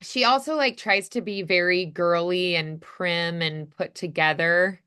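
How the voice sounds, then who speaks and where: Bright, clear, slow-talking woman coach. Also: fairly low pitch. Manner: encouraging. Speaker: voice acting teacher. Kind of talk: casual coaching chat.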